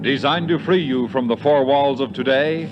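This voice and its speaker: Casting: male announcer, medium pitch, dramatic vintage introduction, muffled recording. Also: normal speed. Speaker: radio announcer. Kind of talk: dramatic 1950s radio show introduction. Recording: muffled vintage radio recording, loud music behind.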